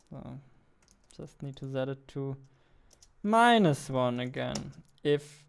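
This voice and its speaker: A man in his twenties, a non-native English speaker, thinking aloud in casual, unhurried live coding commentary. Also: medium pitch, vocal fry, a little mellow, smooth. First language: not English. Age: 20s. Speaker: indie game developer, streamer. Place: small indoor room at a computer.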